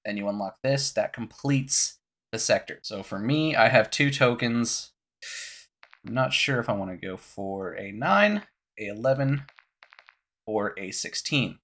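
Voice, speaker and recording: A young man with a cough-roughened, scratchy voice, casually walking viewers through a game menu step by step into his headset at home. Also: medium pitch, lively intonation, a little slow, a bit bright. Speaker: gaming YouTuber. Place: small room at home.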